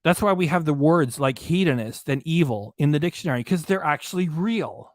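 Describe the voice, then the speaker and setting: A man's voice: fairly high pitch, lively intonation, fairly quick, dry recording. A man hosting a reaction live stream, losing his voice, ranting indignantly and repeating himself. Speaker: reaction channel host. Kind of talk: live stream commentary reading chat. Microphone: desk microphone, hidden behind a graphic.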